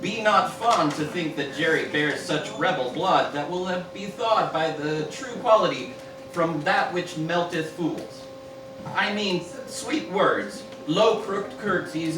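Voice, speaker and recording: A man playing a pompous, self-important ruler, declaiming stumbling verse in an amateur parody radio play. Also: sits high. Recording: roomy, distant mic, loud background noise.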